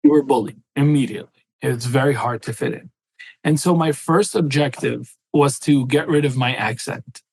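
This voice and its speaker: A man's voice: mid-range voice, normal speed, creaky, a little mellow, breathy edge, no echo, low-quality recording. Passionate man in his thirties, dry close mic. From Syria. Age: 30s. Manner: earnest, passionate, with frequent "right?" asides. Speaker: guest. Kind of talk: personal storytelling in an interview.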